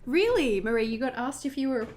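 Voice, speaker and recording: A woman from northern New South Wales with an Australian accent, chatting casually and quickly with livestream viewers while she crafts, often giggling. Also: high voice, animated, background noise. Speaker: journaling livestream host.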